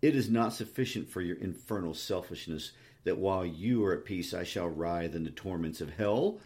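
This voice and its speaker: A man's voice: low voice, expressive, unhurried, darkish tone. A man voicing a tormented, savage and despairing lover in a dramatic novel reading, emphatic and accusing.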